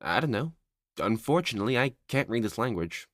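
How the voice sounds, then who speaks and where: A woman's voice: a bass voice, sing-song, brisk, dry recording, studio quality, exaggerated voice. A woman playing a refined, cautious space princess in a sci-fi roleplay, with formal, courtly speech. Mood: poised. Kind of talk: ASMR audio roleplay acting.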